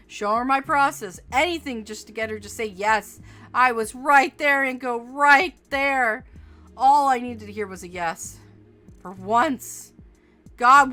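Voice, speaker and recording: A woman's voice: fairly high pitch, sharp tone. A woman voicing a short-tempered young woman with a tail, bitter and swearing in a storybook reading.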